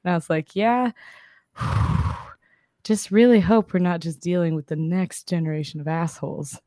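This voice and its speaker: A young woman co-hosting a podcast, chatting casually and acting out the people in her story, with a slightly raspy voice. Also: lowish voice, a little slow, no echo, studio quality. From Southern California. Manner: chatty, animated retelling with quoted voices and laughs. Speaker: podcast co-host.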